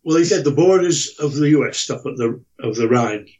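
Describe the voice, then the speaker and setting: A man's voice: mid-range voice, animated, brisk, darkish tone. Male co-host, rambling and tentative, casual delivery, muffled lo-fi connection. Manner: hesitant, rambling. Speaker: regular talk show guest or co-host. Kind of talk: casual conversational anecdotes. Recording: dull lo-fi voice connection.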